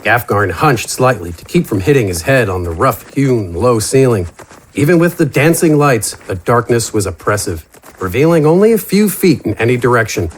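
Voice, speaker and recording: A male narrator reads a scripted fantasy story. His pitch sits in the middle range for a man, and the delivery is dramatic storytelling. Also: sing-song, normal speed, a little hiss or hum.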